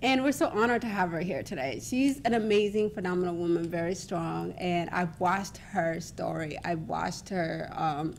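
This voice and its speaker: A woman hosting a talk show in an informal, encouraging, conversational way over a rumbly background. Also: moderate pace, vocal fry, hardly any pauses, a little hiss or hum.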